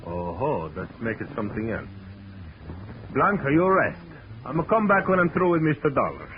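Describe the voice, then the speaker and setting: A man acts out an excitable Italian circus owner in an old radio play. His voice swings up and down as he dotes effusively in broken English. The recording is dull and noisy. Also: mid-range voice, normal speed, natural voice. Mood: fond, worried. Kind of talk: 1940s radio acting.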